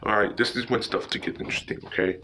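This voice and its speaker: Low-voiced man, noisy tutorial recording. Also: fairly even intonation, pushed and bright. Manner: informal, halting, repeats himself. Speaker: tutorial host. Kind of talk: casual screen-recording tutorial.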